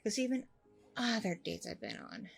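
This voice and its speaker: A woman playing a chatty, candid, self-deprecating single mom on a blind date in a casual audio roleplay, rambling informally with a creaky voice. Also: medium pitch, very animated, normal speed, soft background music, heavily acted. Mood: self-conscious, relieved. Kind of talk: ASMR audio roleplay, casual conversational acting.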